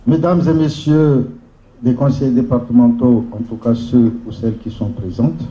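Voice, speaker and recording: Man, local official at a podium address. Very dark tone, deliberate formal phrasing, dull roomy sound. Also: mid-range voice, fairly even intonation. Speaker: local intercommunal official giving a welcome speech. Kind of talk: formal speech at a conference. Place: large room at a public conference. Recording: dull, echoey conference hall PA sound.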